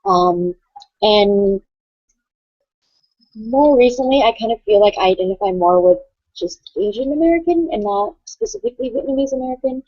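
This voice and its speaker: A woman from California speaking hesitantly, with many pauses and fillers, in a casual online group discussion. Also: on the high side, animated, no room sound. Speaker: student affairs professional. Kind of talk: casual video-call discussion. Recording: dull lo-fi call audio.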